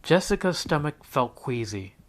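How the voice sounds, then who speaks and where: A man reading a children's picture book aloud as a teacher, in an expressive storytelling style. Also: on the high side, normal speed, a little hiss or hum.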